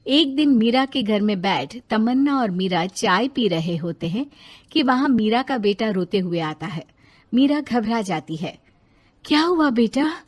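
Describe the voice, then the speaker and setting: A woman's voice: medium pitch, lively intonation, unhurried. A woman reading scripted story narration as a storyteller.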